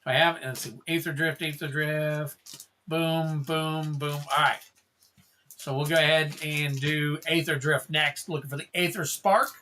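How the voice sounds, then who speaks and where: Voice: medium pitch, steady tone, unhurried, pushed and bright, a little hiss or hum. A livestream host opening card packs, chatting casually, calling out card names and thanking viewers in a rambling way.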